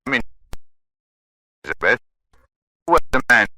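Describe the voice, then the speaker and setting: A man's voice: mid-range voice, very animated, normal speed, bright, forceful tone. An actor playing a cold, domineering husband who taunts his wife imperiously in a 1940s radio drama. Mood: angry, demanding. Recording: old radio broadcast recording.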